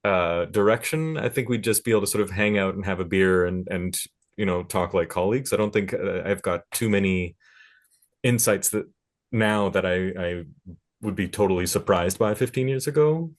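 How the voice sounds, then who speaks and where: Guy in his 30s chatting on a panel, really low voice, easygoing and informal. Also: moderate pace. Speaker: panelist, music professional. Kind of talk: casual reflective panel talk.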